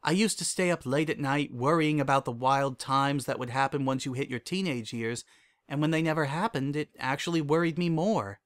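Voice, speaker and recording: Man voicing a gentle regal pony princess, pitched up. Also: normal speed, lightly acted. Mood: gentle, reflective. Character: calm, kindly royal pony princess and mentor, female. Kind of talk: storybook character dialogue.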